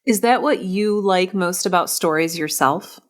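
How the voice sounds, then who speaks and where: A woman's voice: on the low side, a little slow. A woman hosting a podcast interview in a conversational, enthusiastic and encouraging way. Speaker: podcast host interviewing a writer.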